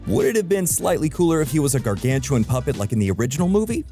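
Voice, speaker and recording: A man's voice: fairly high pitch, sing-song, fast talker, dark tone, soft background music. A man narrating a scripted video essay in a wry, opinionated, conversational way.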